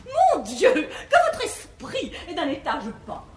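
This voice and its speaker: An actress playing a haughty, prudish young bluestocking, declaiming classical stage comedy verse in a theatrical manner. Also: female voice, extremely high pitch, wildly swooping pitch, takes it slowly, heavily acted. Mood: haughty scorn. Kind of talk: 1950s French classical stage comedy acting. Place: large theatre stage with live audience. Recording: echoey theatre stage, faint hiss.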